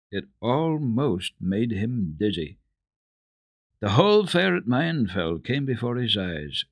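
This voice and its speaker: A man with a fairly low voice narrates a children's storybook for radio. His delivery is deliberate and dramatic, with highly animated intonation. The sound is close and dry. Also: no echo. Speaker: host reading children's stories on radio.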